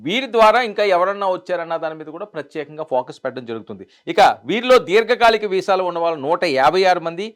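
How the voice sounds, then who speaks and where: Male news presenter, fairly high pitch, emphatic delivery, repeated phrases, commentary style. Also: lively intonation, racing, few pauses.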